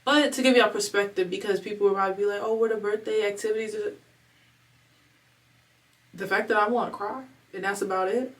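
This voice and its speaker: A young woman in her twenties rambles candidly in a slangy home vlog, emotional and holding back tears. Her voice sits at an ordinary middle pitch. She is a little distant from the microphone. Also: fairly even intonation, a little fast, long pauses. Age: 20s. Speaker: vlogger. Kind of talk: casual confessional vlog talk. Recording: voice a bit far from the mic.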